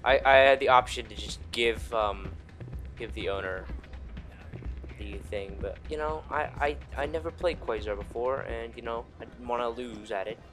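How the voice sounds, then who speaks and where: A man's voice: mid-range voice, normal speed, a bit bright. A man giving casual, rambling, sweary commentary as he plays a video game. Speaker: gaming video host. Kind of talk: casual let's play commentary. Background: faint game music.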